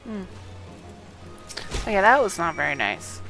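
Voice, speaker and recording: A woman's voice: medium pitch, big pitch swings, unhurried, creaky, over music. A woman streaming a game walkthrough, reading quest text aloud and casually muttering to herself as she plays.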